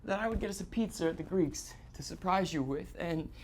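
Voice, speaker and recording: An actor playing a distraught, guilt-ridden man on stage, confessing in halting, repetitive, stammered phrases in a live theatre monologue. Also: high voice, slight background noise. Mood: subdued, wistful. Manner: halting, stammering, repeating himself.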